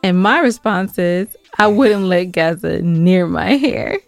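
A woman's voice: on the low side, lively intonation, slow, creaky, no echo, soft background music. A woman co-hosting a podcast in casual, playful conversation, teasing her partner. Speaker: podcast co-host. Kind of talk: casual bantering chat.

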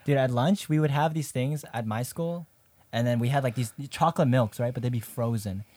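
A man's voice: mid-range voice, brisk, dark tone, dry recording. A young man joking around with friends in casual, playful, slangy talk with plenty of swearing. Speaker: podcast speaker.

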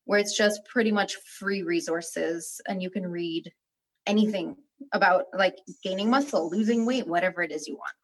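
A young woman who grew up partly in Albania speaks as an interview guest. She tells her story frankly and with animation, in a relaxed conversational way. Her voice sits at a middling pitch. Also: normal speed, rough recording. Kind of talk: casual interview talk.

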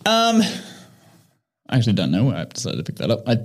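A man's voice: lowish voice, wildly swooping pitch, fast, slight background noise. A man who founded an online chess training platform, speaking reflectively as a guest in a casual interview and often laughing as he searches for words.

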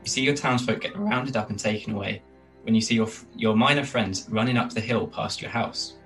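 A man's voice: lowish voice, fairly quick, a bit bright, recorded from a distance, soft background music, poor audio. A man running a tabletop game as game master, narrating the scene and joking with the players. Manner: laughs often, joking.

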